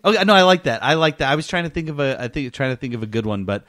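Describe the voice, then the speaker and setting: A man's voice: mid-range voice, lively intonation, very fast, fairly bright tone. A man co-hosting a casual comedy podcast, chatting in a jokey way with frequent laughter. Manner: jokey, laughs often while talking. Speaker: podcast co-host. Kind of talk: casual comedic group chat.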